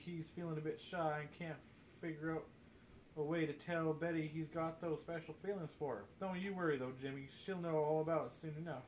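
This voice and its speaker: A man voicing a busy, brisk lady newspaper editor in an amateur comedy radio play, theatrical and full of endearments. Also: a little high, natural voice. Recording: slightly noisy podcast recording.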